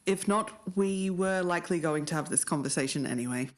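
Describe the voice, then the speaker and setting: A woman's voice: on the low side, a little fast. A woman co-hosting a podcast and delivering scripted narration. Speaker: podcast co-host.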